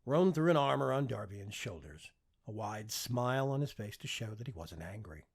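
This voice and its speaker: A man narrating a fiction audiobook with expressive, scripted storytelling delivery. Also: fairly low pitch, normal speed, gravelly, breathy edge.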